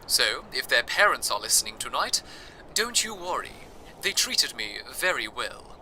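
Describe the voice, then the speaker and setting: A man playing an earnest, awed broadcaster from a fantasy city, narrating a scripted radio drama in a measured, wistful way. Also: mid-range voice, sharp tone, a little hiss or hum, exaggerated voice, rough recording. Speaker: radio host.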